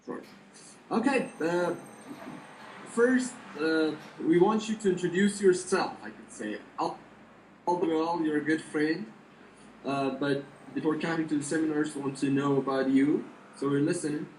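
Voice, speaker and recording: A man interviewing a guest over a call, speaking haltingly as he searches for words. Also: fairly high pitch, a little mellow, reverberant, slight background noise, rough recording. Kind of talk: online interview.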